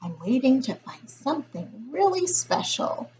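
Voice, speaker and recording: A woman's voice: sits high, sing-song, measured pace, darkish tone, slight background noise, full character voice, rough recording. A woman voicing a small, curious young male snail from a picture book, plain-spoken and insistent about doing things alone. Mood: hopeful. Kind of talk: picture-book character voice in a read-aloud.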